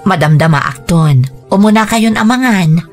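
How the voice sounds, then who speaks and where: Woman acting caring aunt, gentle manner, medium pitch, Filipino accent, radio drama. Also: lively intonation, moderate pace, soft background music, natural voice. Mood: gentle, sincere. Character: caring, gentle aunt Tanya looking after children.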